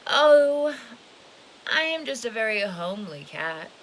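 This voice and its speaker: A woman's voice: fairly high pitch, very animated, takes it slowly, low-quality recording. A woman voicing a small, humble kitten with a meek, modest manner in a storybook read-aloud. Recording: hissy home recording.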